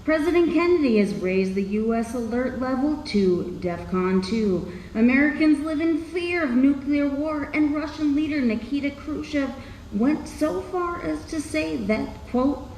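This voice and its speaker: A woman's voice: high voice, expressive, measured pace, darkish tone. A woman playing a radio voice, delivering scripted drama lines as newsreader and operator. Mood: grave. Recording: echoey room with background noise.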